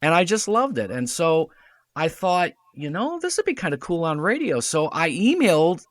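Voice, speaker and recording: Middle-aged man, slightly high voice, lively anecdotal guest, dry close sound. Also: normal speed, dry recording. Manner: storytelling, animated, quotes others in dialogue. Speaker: radio drama producer and writer, podcast guest. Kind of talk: casual chat.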